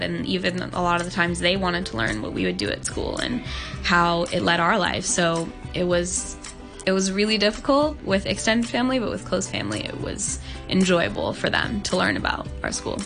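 A nineteen-year-old woman from Hong Kong talks in a slightly low voice. She answers earnestly and thoughtfully, filling her sentences with "like." Faint music plays softly beneath her. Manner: earnest, thoughtful, many "like" fillers. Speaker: podcast guest. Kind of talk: casual interview answers.

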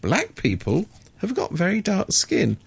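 A man with a gravelly, creaky voice talks in a radio studio as a regular commentator. He sounds indignant and sardonic, and he often trips over the first words of a sentence before pushing on. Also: high voice, wildly swooping pitch, unhurried. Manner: indignant, sardonic, many restarts.

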